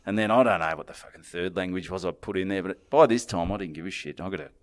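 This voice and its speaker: A man's voice: deep voice, quick pace. A man with an Australian accent, co-hosting a podcast and telling a long rambling story in crude, swearing banter. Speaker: podcast co-host.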